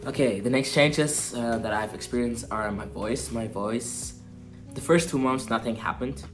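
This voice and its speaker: A young man giving a casual personal update to camera, relaxed and rambling with lots of fillers. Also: mid-range voice, a little slow. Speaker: vlogger. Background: soft guitar music.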